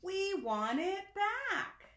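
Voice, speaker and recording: A woman's voice: very high voice, sing-song, very slow, a bit bright, reverberant, voice pushed up, full character voice. A woman voicing cold, indignant storybook sheep who bleat out their plaintive complaints.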